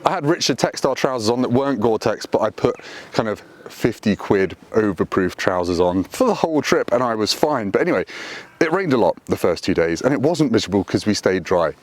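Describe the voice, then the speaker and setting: A man's voice: animated, brisk. A man, chatting casually to camera from a roadside lay-by, dry and self-deprecating. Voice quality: deep voice. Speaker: motorcycle vlogger. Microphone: camera on tripod.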